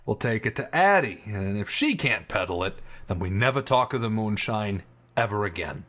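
A man's voice: on the low side, sing-song, normal speed, fairly bright tone. An actor playing a cautious, scheming right-hand man in a 1920s gangster audio drama, deferential and hedging. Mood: deferential, pleading.

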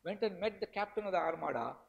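A wide-eyed old sea captain tells of his voyage with a nervous, high voice, as an Indian lecturer quotes him. The tone is anxious and full of wonder. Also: expressive, quick pace, creaky, highs cut off, heavily acted. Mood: awed. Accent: Indian English.

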